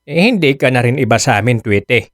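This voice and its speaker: A man's voice: mid-range voice, lively intonation, fast, fairly bright tone, no room sound, studio quality, close to an everyday voice. An actor playing an apologetic, mild-mannered older Filipino father in a radio drama, hesitant and pleading. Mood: grateful, sheepish.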